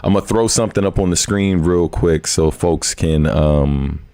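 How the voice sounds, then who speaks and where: A man's voice: deep voice, normal speed, mellow, dry recording. A man hosting a live stream, chatting in a laid-back, slangy way. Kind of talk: casual live stream chat.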